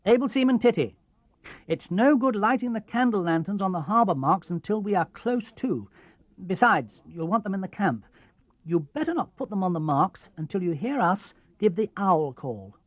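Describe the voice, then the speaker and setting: A young ship's captain acted by a man in a children's storybook. The voice is raised high and lively, brisk and commanding, calling out orders in a dry recording. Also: no echo.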